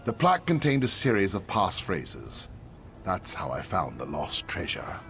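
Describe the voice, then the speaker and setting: A weary old man, voiced by an actor in a fantasy game, grave and regretful. His low voice moves in lively swings, with clear music behind it. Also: measured pace, full character voice. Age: older. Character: weary, cautious old male pilgrimage survivor, regretful.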